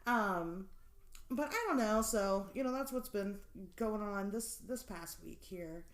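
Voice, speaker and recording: A woman's voice: mid-range voice, expressive, measured pace, echoey room. A woman in her late twenties co-hosting a podcast, chatting casually, rambling with lots of fillers and frequent laughter. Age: 20s. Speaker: podcast co-host. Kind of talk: casual chat.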